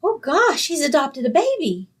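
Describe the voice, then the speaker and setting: A woman's voice: high voice, sing-song, moderate pace. A woman playing a sweet, naive, dim-witted young female roommate in an old-time radio comedy. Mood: dreamy, delighted. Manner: naive, earnest, guileless.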